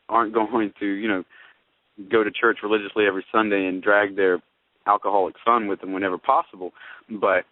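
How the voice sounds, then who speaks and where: Guy astrologer talking shop, wordy and emphatic, kinda low voice, dry narrow radio sound. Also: dry recording. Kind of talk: conversational debate about astrology. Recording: tinny, band-limited radio or phone-line audio.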